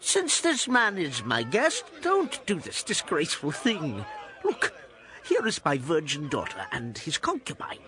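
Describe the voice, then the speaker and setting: An actor playing a hospitable old farmer with anxious pleading in him, in a dramatized scripture reading. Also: male voice, a little high, huge pitch swings, a little slow, pushed and bright, full character voice. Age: older. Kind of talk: dramatized scripture audio acting.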